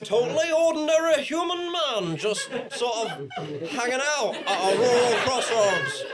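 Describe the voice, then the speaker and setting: A high-voiced, mischievous crossroads devil in a staged audio comedy. He is sly and giggly, pushing his over-innocent sales patter while pretending to be an ordinary human. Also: lively intonation, measured pace, heavy background noise. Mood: gleeful, sly. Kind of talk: live audio-drama comedy performance. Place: small theatre stage before an audience. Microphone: stand microphone.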